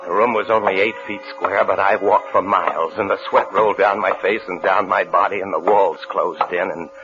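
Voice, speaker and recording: Actor as a low-voiced, haunted fugitive husband. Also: male voice, fairly even intonation, natural voice. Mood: haunted dread. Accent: American. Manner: haunted, halting, repeating phrases. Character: paranoid fugitive husband in hiding, haunted and frantic. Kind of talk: 1950s radio suspense acting, first-person narration and dialogue. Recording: muffled old radio broadcast with music.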